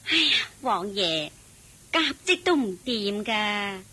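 Scheming brothel madam, wheedling, bright breathy tone, slow drawn-out delivery. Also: female voice, medium pitch, big pitch swings, heavily acted. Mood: plaintive. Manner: wheedling, sly, laughs while she schemes. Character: scheming middle-aged female brothel madam. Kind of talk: radio play acting.

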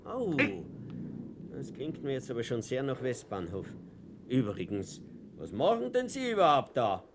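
A man's voice: medium pitch, big pitch swings, a little slow. An actor playing a wry, slyly defiant Viennese man in a radio play, speaking with an Austrian accent and ironic, mock-polite manner. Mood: sardonic. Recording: noisy old radio play recording.

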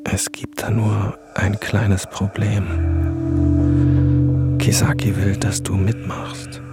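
An actor playing a philosophizing master thief in a radio drama, musing and intense, a troubled partner in crime. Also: male voice, on the low side, flat delivery, slow, over loud music. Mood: troubled, urgent. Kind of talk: radio drama acting, remembered dialogue.

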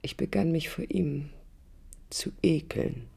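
Husky, low-voiced disillusioned young woman. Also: slow pace, mellow, heavily acted. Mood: bitter, sorrowful. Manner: reflective, intimate, literary. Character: disillusioned young woman architect recalling her failed marriage. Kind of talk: dramatic monologue in radio play.

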